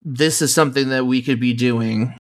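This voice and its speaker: A calm man speaks unhurriedly, with a gravelly edge to his mid-pitched voice. He is a podcast guest in relaxed conversation, and he leans on fillers as he goes. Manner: slow, calm, with many you-knows. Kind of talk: casual conversation.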